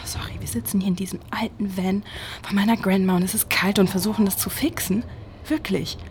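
A woman's voice: medium pitch, steady tone, a little fast, darkish tone, slight background noise, lightly acted. An actress playing a cynical, anxious young woman in hiding, speaking in exasperated, sarcastic half-whispers in an audio drama. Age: young adult. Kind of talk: naturalistic audio drama dialogue.

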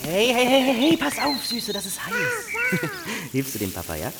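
An actor playing an evasive, anguished young footballer and father in a TV crime drama, speaking haltingly. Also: very high-pitched, wildly swooping pitch, very noisy, heavily acted. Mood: gentle, playful. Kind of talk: naturalistic German TV drama dialogue.

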